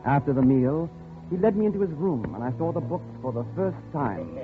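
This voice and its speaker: An actor playing an uneasy, polite man who tells his story in a measured, reflective way in a 1940s radio drama. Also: fairly high pitch, very animated, narrow, tinny audio. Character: uneasy, polite male visitor narrating his captivity. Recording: thin old radio recording with music.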